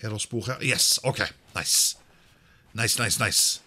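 A man's voice: on the low side, pushed and bright. A man doing casual let's-play commentary, thinking aloud and sprinkling in English exclamations. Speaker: gaming video host.

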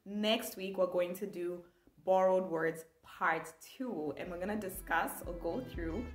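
A woman's voice: fairly low pitch, a little slow, echoey room, faint music behind. A young woman from Zambia, teaching an online language lesson with enthusiasm, gesturing as she talks. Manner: animated, gestures a lot, enthusiastic. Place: small room indoors.

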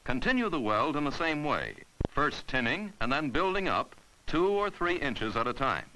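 A man giving scripted instructional narration for a 1950s educational film, patient and clear as he walks through each step. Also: a little high, big pitch swings, normal speed, bright, forceful tone, a little hiss or hum, rough recording.

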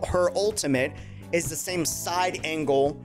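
A young adult man giving excited, slangy, effusive casual reaction commentary on a video game at his desk. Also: a little high, unhurried. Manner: effusive, slangy, uses bro and dude. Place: small indoor room at a desk. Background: game trailer music.

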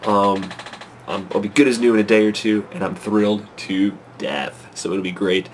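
A young man in his twenties, congested from a cold, rambling anxiously and excitedly with many fillers in a casual to-camera vlog, recorded on a close webcam in a small bedroom at night. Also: lowish voice, moderate pace, slight background noise. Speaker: vlogger. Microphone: webcam at close range.

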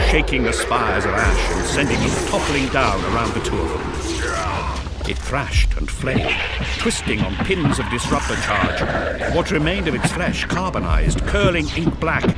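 A man narrating an audio drama in an intense, solemn, theatrical storytelling style. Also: mid-range voice. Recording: loud music and battle effects behind. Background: loud music, battle sound effects.

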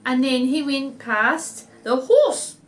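A middle-aged woman, narrating a children's story in an animated way at home. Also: high-pitched, slow pace. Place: living room, seated in an armchair.

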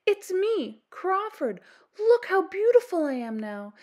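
A woman's voice: very high-pitched, very animated, takes it slowly, darkish tone, pitched above the performer's own voice, exaggerated voice. A woman voicing a vain, eager male raven in a children's storybook, boastful and full of exclamation. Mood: excited, showing off. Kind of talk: children's storybook read-aloud.

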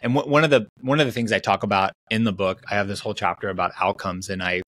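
A man's voice: fairly low pitch, steady tone, fast talker, a bit bright, slight background noise. A man in his thirties, a guest author in a casual video-call conversation, talking animatedly with many restarts and fillers. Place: small home room on video call. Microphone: video call mic.

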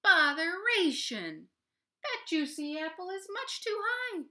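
A flustered, fussy man who loves to bake bursts out in loud, exasperated cries in a storybook tale, as a woman puts him on. The voice sits high and bright, pushed up well above a normal speaking pitch. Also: very animated, takes it slowly, heavily acted.

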